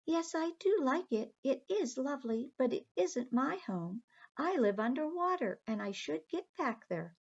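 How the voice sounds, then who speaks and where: A woman voicing a tiny, polite, curious mermaid girl in a storybook, with a high, sweet voice. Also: lively intonation, unhurried, vocal fry, darkish tone, exaggerated voice. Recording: dull lo-fi recording.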